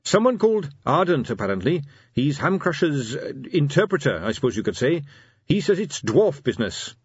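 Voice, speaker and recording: A man's voice: fairly high pitch, very animated, moderate pace, husky, no room sound, highs cut off, pitched above the performer's own voice. A man voicing an earnest, dutiful police captain in an audiobook story, respectful and deferential in manner.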